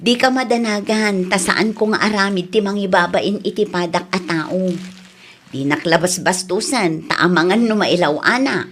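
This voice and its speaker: A woman's voice: medium pitch, normal speed, fairly bright tone, slight background noise, natural voice. An actress with an Ilocano Filipino accent playing an indignant, feisty young neighbor woman in a comedy radio drama. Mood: guarded.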